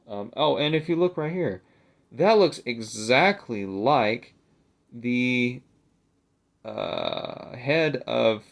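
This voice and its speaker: A man's voice: mid-range voice, creaky. A young adult man chatting casually and unscripted for a video, rambling and hesitant, with a self-deprecating manner. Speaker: video creator showing a collectible. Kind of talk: casual unscripted vlog chat.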